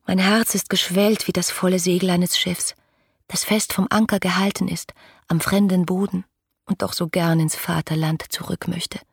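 Breathy, rapturous young woman. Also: on the low side, fairly even intonation, moderate pace. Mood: yearning. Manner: effusive, breathless, lyrical, theatrical. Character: ardent, dreamy young woman writing rapturous letters about her idol. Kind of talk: German radio play, literary letter monologue.

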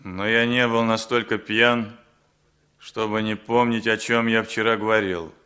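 A man's voice: fairly low pitch, little pitch movement, measured pace, bright, forceful tone, smooth. An actor playing a proud, guarded Soviet man in radio drama, speaking formally and precisely with indignation. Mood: indignant, uneasy. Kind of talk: 1960s radio drama acting.

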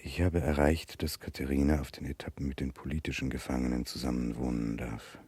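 An actor playing a grave, remorseful Russian gentleman in a German radio drama of the 1960s, speaking in a measured, earnest way. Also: very deep voice, little pitch movement, breathy edge. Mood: calm, reflective.

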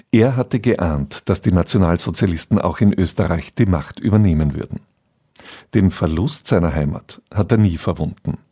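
A male radio presenter with a low, mellow voice that has a husky edge. He reads a scripted documentary introduction soberly and factually.

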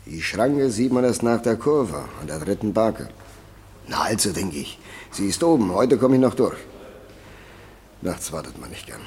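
A man's voice: lowish voice, big pitch swings, normal speed, pauses often, a little mellow. An actor playing a plain-spoken, chatty milk-truck driver in his thirties in a German radio crime drama. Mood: chatty, low-key. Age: 30s. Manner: colloquial, chatty, down-to-earth.

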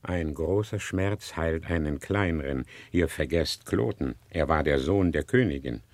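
An actor playing a wary, grave old father in a classical radio play, measured and declamatory. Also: very deep voice, lively intonation, no room sound, heavily acted. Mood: grave, consoling.

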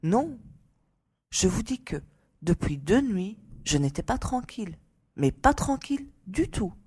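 A woman playing a frightened but composed young lady giving testimony in a dramatised audiobook, with a breathy voice. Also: medium pitch, big pitch swings, a little slow, a little mellow. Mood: firm.

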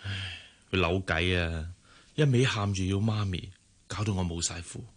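A man's voice: low-pitched, moderate pace, breathy edge. A man with a Hong Kong Cantonese accent acting in a radio drama as a worried, exasperated father and boss who sighs as he talks. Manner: sighing, exasperated.

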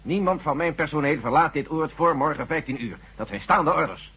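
An actor playing a curt, dutiful army general in a radio drama, speaking in a clipped, authoritative and husky voice. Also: male voice, on the high side, a little fast, bright, forceful tone, heavily acted. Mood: stern. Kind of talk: Dutch radio drama acting. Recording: dull, lo-fi old radio recording.

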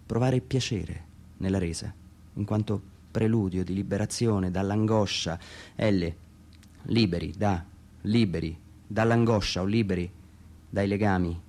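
A man reading his own literary text as a radio theatre monologue, introspective and halting, with pauses between short phrases. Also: on the low side, darkish tone.

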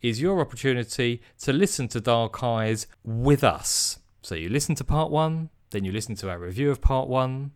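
A man's voice: medium pitch, expressive, moderate pace, hardly any pauses, clean tone. A man co-hosting a podcast in upbeat, chatty, playful talk. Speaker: podcast co-host. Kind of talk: casual podcast chat. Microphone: remote call mic.

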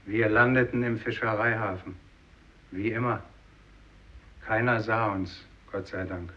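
A man's voice: on the low side, fairly even intonation, measured pace, sharp tone. An actor playing a wounded, bleakly resigned fisherman, grave in tone, in a 1950s German radio drama. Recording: muffled old radio recording, faint noise.

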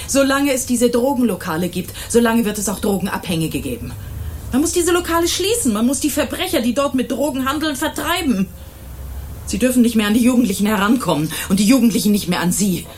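A woman's voice: on the high side, expressive, fairly quick, lightly acted. A middle-aged woman acting an indignant, self-righteous mother in a German radio crime drama, with a stern, emphatic delivery. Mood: bitter, insistent. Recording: 1980s radio drama with faint noise.

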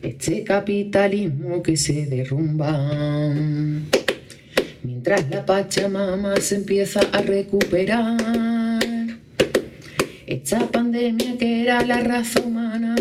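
A woman's voice: mid-range voice, fairly even intonation, slow pace, far from the mic, very noisy. A woman performing an adapted song, half singing the verses. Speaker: community radio contributor. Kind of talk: sung song parody with spoken-like verses. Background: music.

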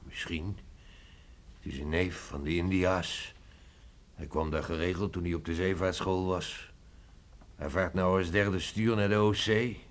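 An actor playing an awkward older village father in a Dutch radio play, gravelly and halting, given to chuckles. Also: low-pitched, fairly even intonation. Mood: relieved, amused. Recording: noisy old radio drama recording.

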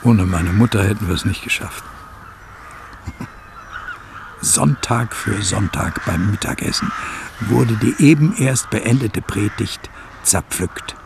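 Creaky, sardonic preacher's scholar son. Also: male voice, lowish voice, slow, long pauses, noisy background. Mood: wry, reflective. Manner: sardonic, theatrical, quotes others mockingly. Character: embittered scholar son of a preacher, recalling childhood. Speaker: interviewee. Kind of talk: radio drama acting, reminiscing monologue.

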